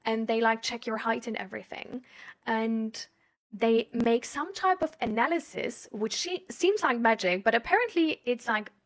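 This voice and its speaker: German-born woman host, kinda high voice, just chatting, rambles and thinks out loud, giggly. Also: moderate pace. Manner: hesitant, rambling, thinking aloud; giggly, laughs often while talking. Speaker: host chatting live with viewers. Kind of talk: casual chat.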